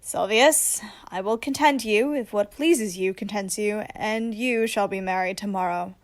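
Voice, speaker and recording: A woman acting a commanding heroine disguised as a young shepherd in a Shakespearean radio play, speaking in measured, emphatic declamation. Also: medium pitch, natural voice. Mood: commanding, assured.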